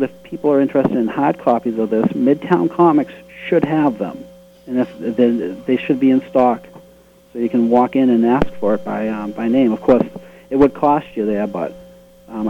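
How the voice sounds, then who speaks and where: A man's voice: medium pitch, normal speed, faint music behind. Boston guy rambling earnestly about his comics, sounds like a phone call. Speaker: webcomic creator guest. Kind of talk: casual interview talk. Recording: tinny phone-line sound.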